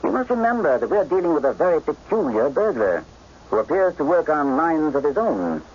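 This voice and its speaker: Shrewd gentleman detective, expressive delivery. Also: on the high side. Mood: polite, probing. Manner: courteous, measured, probing questions. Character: shrewd English gentleman detective, polite, coolly methodical. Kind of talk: radio drama acting. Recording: muffled radio drama recording, faint noise.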